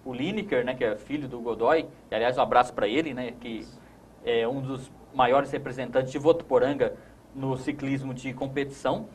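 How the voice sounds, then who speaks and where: A man's voice: medium pitch, steady tone, moderate pace, a little hiss or hum. A man in his thirties with a Brazilian accent, hosting a conversational sports talk show in a studio. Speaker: host.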